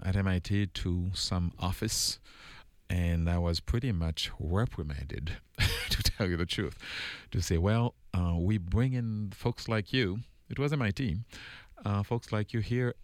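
A man from Haiti with a very low voice tells his life story as an interview guest. He speaks in a measured, thoughtful way, pausing often and filling gaps with "uh". The recording is close and dry. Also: a little mellow, no echo. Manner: measured and reflective, frequent pauses and "uh" fillers. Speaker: engineering dean, guest.

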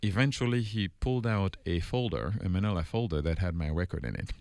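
Deep-voiced man. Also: a little mellow, no room sound. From Haiti. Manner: measured and reflective, frequent pauses and "uh" fillers. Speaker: engineering dean, guest. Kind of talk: interview, personal storytelling.

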